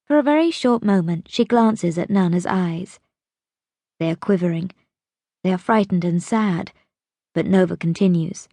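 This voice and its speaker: A woman reading an audiobook as narrator, telling the story expressively in a measured, dramatic way. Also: fairly low pitch, mellow, no room sound.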